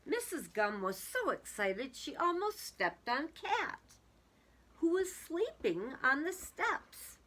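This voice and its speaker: A woman reading a picture book aloud to young children in an expressive, emphatic way, with explanatory asides. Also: high voice. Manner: deliberate, emphatic, dramatic word-by-word delivery.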